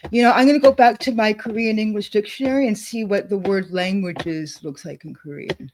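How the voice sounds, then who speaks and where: A woman co-hosting a casual chat, thinking aloud as she clicks through software at her desk, with frequent laughs. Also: medium pitch, fairly quick, a little hiss or hum. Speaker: co-host demonstrating software. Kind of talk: casual screen-share chat.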